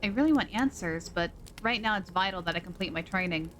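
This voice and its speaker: A woman's voice: on the low side, lively intonation, brisk, fairly bright tone, soft background music. A woman streamer chatting expressively as she plays and reads the dialogue aloud. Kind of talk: casual game commentary and reading dialogue aloud.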